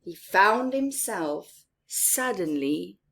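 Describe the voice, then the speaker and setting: A woman reading a picture book aloud to children in an expressive, theatrical way, with emphatic words and hushed, whispery phrases. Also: medium pitch, drawn-out words, creaky. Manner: asks young listeners questions and comments on pictures. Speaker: storyteller for children.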